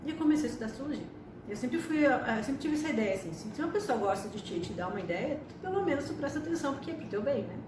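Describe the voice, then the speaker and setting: A woman's voice: sits high, lively intonation, racing. A woman, telling her own life story to camera in a chatty way, with frequent tag questions. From Argentina. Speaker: astrologer and psychotherapist. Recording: echoey room from a distance with background noise.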